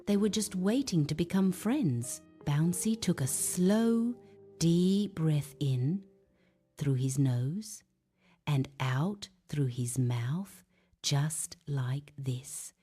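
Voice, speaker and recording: Slow, mellow woman telling a bedtime story. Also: low-pitched, animated, breathy edge. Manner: gentle, hushed, unhurried. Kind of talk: soothing scripted children's bedtime story narration. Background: music.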